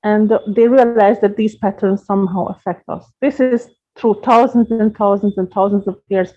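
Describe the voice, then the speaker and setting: A woman from Hungary, a guest astrologer explaining her craft in an earnest, measured way. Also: mid-range voice, steady tone. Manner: earnest, measured, gently repeats words. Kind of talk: interview answers, explaining at length. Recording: dull lo-fi podcast audio.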